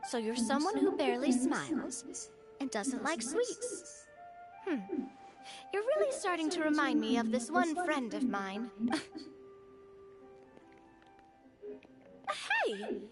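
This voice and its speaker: A woman's voice: high-pitched, very animated, measured pace, long pauses, over loud music. A woman voicing a cheerful, optimistic young woman game character who talks in a bubbly, chatty way. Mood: amused. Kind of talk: video game voice acting.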